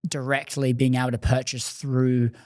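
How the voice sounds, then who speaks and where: A man's voice: mid-range voice, level tone, moderate pace, a little mellow, no room sound, studio quality. A man hosting a podcast, asking long rambling questions full of fillers. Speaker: podcast host. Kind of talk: casual interview questions.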